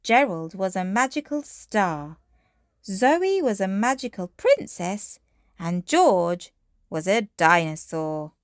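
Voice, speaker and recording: A woman's voice: medium pitch, sing-song, takes it slowly, no room sound, clean studio sound. A woman reading a children's bedtime story aloud as narrator.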